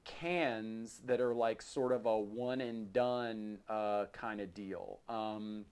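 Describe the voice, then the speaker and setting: A man hosting a podcast in a casual, informal chat, thinking aloud in a halting way. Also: on the low side, fairly even intonation, a little mellow, clean tone. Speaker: podcast host.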